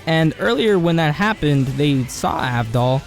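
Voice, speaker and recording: Hoarse, rambling young-sounding man. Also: a little high, expressive, unhurried, faint music behind. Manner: rambling and slangy, heavy on filler words. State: hoarse, voice cracking. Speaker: video essay host. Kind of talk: casual anime commentary monologue.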